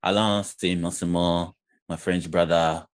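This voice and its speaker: A man's voice: low voice, level tone, unhurried. A man with a British accent, from Ghana, co-hosting a podcast in a joking, slangy way. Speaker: podcast co-host. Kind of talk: casual banter.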